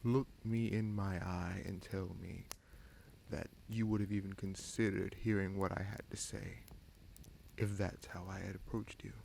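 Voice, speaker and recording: A man playing a bitter, scheming prince in a fantasy audio roleplay, speaking deliberately with sardonic menace and dramatic pauses. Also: sits low, level tone, vocal fry, darkish tone, slight background noise, full character voice, poor audio. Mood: cold, challenging. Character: bitter, scheming bastard prince commanding a captive dragon.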